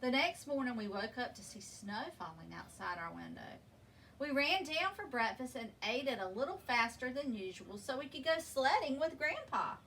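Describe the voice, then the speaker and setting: A woman reading a children's picture book aloud in an expressive, deliberate storytelling manner, leaning on key words. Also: sits high. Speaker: story reader. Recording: distant microphone with background noise.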